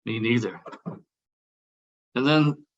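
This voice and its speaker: A man's voice: mid-range voice, expressive, slow pace, muffled recording. A man playing a brash, teasing buddy in a comedy table read. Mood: intrigued. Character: brash, teasing male buddy, inappropriate but quick to cover.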